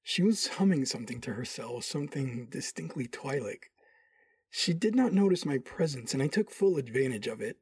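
A man's voice: medium pitch, lively intonation, normal speed, a little mellow, breathy edge, dry recording. A man playing a smug, smitten Sith Lord who narrates an audio drama in the first person. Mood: amused, intent.

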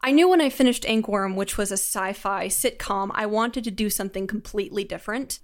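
A woman's voice: medium pitch, fairly quick. A young woman with a mid-range voice speaks as a guest creator in an interview. She is articulate and enthusiastic, explaining things in a conversational way. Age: young adult. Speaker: audio drama creator, podcast guest.

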